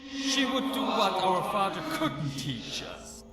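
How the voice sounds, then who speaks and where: A man voicing a stoic, loyal ghost mercenary archer in a fantasy game, speaking in a measured, formal way. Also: high-pitched, big pitch swings, full character voice. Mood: fond, reflective. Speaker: game follower character. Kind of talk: scripted fantasy game dialogue. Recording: echoey voice under loud music.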